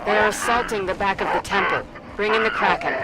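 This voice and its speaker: An actress playing an alert, dutiful female soldier in a sci-fi video game, with a crisp, matter-of-fact military delivery. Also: mid-range voice, a little fast, heavy background noise, close to an everyday voice. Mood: curious, matter-of-fact.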